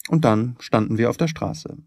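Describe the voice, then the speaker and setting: A man's voice: medium pitch, normal speed, creaky, a little mellow, no room sound, studio quality. A man reading scripted literary narration as an audiobook narrator.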